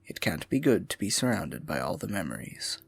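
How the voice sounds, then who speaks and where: A man's voice: low-pitched, big pitch swings, a little fast, creaky, breathy edge, dry recording, faint music behind, full character voice. A man playing a scheming royal advisor in a fantasy audio roleplay, silky, condescending and falsely sympathetic, often dropping to a murmur. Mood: mock concern. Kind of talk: fantasy ASMR roleplay acting, intimate and theatrical.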